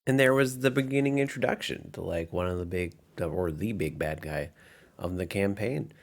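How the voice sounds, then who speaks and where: A low male voice with a strong creak, talking casually as a game master. He narrates and reflects on his tabletop campaign in an informal, unpolished, thinking-aloud style. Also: normal speed. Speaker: game master giving commentary.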